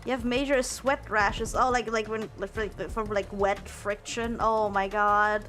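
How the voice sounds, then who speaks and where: A woman's voice: mid-range voice, fairly even intonation, measured pace, fairly bright tone, soft background music. Rambling young woman streamer, stumbling chat. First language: German. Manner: rambling, repeats words, many fillers.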